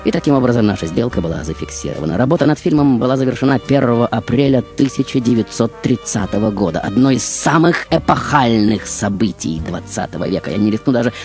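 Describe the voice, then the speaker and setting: A man's voice: mid-range voice, expressive, fast, few pauses, a little mellow, soft background music, close to an everyday voice. A man playing a seductive, self-admiring film diva, telling her story on a radio show in a theatrical, ironic, grandiose manner. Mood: languid, self-admiring.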